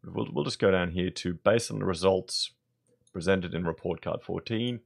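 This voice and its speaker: An Australian man with a hoarse voice, giving blunt, conversational opinion commentary in his vlog. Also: low-pitched, moderate pace. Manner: blunt, conversational, thinking aloud. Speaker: vlogger.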